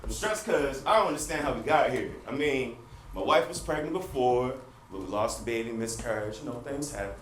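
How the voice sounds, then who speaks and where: A man's voice: mid-range voice, lively intonation, brisk, fairly bright tone, close to an everyday voice. A man acting a frustrated, wisecracking husband in a comedic stage monologue, talking straight to the audience with exaggerated, self-deprecating delivery. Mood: stressed, downcast. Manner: conversational, addresses the audience, exaggerated. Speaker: stage actor performing a monologue. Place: stage in a theater. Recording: noisy, echoey theater recording from far off.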